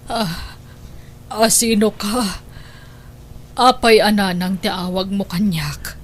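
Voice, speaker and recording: A woman playing a sickly, poor, weary mother in an emotional radio drama. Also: mid-range voice. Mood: weak, sorrowful. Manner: slow, emotional delivery.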